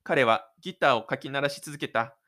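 A man's voice: medium pitch, normal speed, bright, forceful tone. A man reading scripted literary narration for an audio drama.

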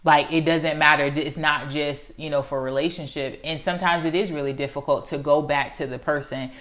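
A woman's voice: sits low, fairly even intonation, fairly quick, fairly bright tone. A woman in her thirties talking earnestly and reflectively in a casual conversation, sometimes acting out the voices she quotes. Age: 30s.

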